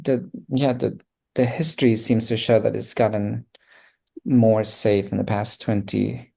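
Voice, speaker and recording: A man from Colombia in his thirties speaks with a Colombian accent and a gravelly, fry-edged voice. His tone is dark and mellow and sits fairly low. He talks reflectively, pausing on fillers and restarting his sentences. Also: little pitch movement. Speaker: guest artist. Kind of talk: casual interview conversation.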